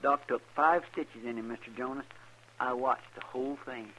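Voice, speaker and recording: A man's voice: medium pitch, fairly even intonation, moderate pace, bright, forceful tone, low-quality recording. A man playing a loyal, earnest deputy in a radio western, deferential in manner. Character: eager, loyal deputy in the Old West. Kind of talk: 1950s western radio drama acting.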